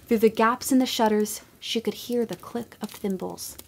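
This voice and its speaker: A woman giving scripted storybook narration for a radio play, gentle and expressive, sometimes dropping to a hush. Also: on the high side, moderate pace, creaky, a little hiss or hum.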